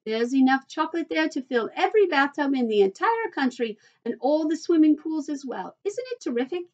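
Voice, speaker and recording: A woman's voice: on the high side, animated, moderate pace, lo-fi sound. A woman voicing an exuberant, boastful male factory owner from a children's storybook, repeating phrases for emphasis. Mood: excited, boastful.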